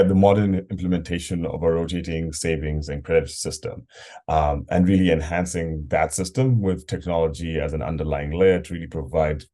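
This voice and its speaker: A young man from Kenya with a very low, dark and mellow voice. He answers interview questions earnestly, explaining his company with frequent ums. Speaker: startup co-founder.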